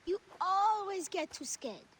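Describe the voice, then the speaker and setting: An actor voicing an excitable, teasing young village child in a video game cutscene, with faint ambience behind. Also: extremely high pitch, slow, a little hiss or hum, full character voice. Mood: excited, teasing.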